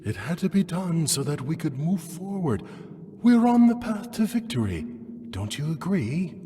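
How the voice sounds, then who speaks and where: A man's voice: fairly high pitch, very animated, normal speed, dark tone. A man playing an ominous, brooding zealot in a video game cutscene, speaking with portentous, theatrical, coldly philosophical delivery. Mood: fervent. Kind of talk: dramatic scripted game cutscene acting. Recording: long echoing hall reverb.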